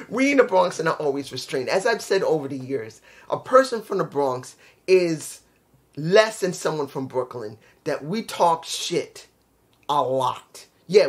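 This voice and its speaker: A man with a New York accent, reviewing a wrestling show in a casual, rambling, blunt way, often repeating himself. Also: on the high side. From New York City. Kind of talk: casual solo video review.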